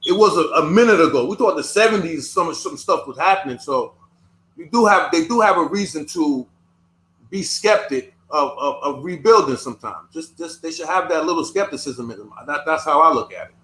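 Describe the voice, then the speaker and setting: A man who was born in the United States, talking in a casual discussion, halting and often repeating his words. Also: a little high, expressive, fairly bright tone. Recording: echoey room.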